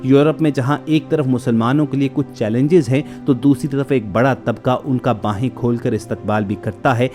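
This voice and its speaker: A man's voice: medium pitch, normal speed, hardly any pauses, mellow. A man reads a scripted documentary report in a formal, literary manner, addressing listeners directly. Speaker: narrator of a video report. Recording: clear music bed under narration. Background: background music.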